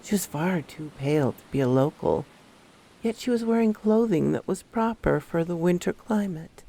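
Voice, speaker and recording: A woman playing a troubled female park ranger who recounts her horror story in a measured, confiding first-person narration. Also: on the low side, lively intonation, creaky, warm tone, poor audio. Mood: wary, recounting.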